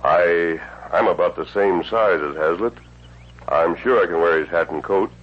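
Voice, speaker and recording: An actor playing a resolute masked lawman in a radio western, with husky, measured, commanding speech and formal diction. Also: deep voice. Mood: calm, resolute. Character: resolute masked lawman hero of the Old West. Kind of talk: 1950s radio western acting. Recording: dull old radio recording.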